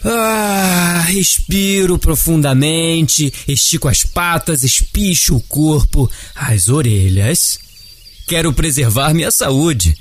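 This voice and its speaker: An actor with a Brazilian accent playing a friendly, caring Easter bunny who explains things patiently in a children's audio drama. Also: male voice, fairly high pitch, animated, takes it slowly. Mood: gently earnest. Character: friendly, caring male Easter bunny, gentle and civic-minded.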